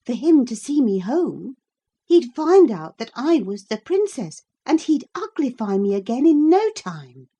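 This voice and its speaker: A woman's voice: sits high, very animated, unhurried, mellow, slightly rough, pitched above the performer's own voice, exaggerated voice. A woman reading aloud, voicing a young princess disguised as a beggar maid, eager and pleading. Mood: anxious.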